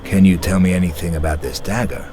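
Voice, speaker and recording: An actor playing a stern, cold inquisitor in a video game, speaking in a measured, commanding way. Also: male voice, low-pitched, steady tone, background noise, lightly acted. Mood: measured, serious. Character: stern, menacing male inquisitor on a case.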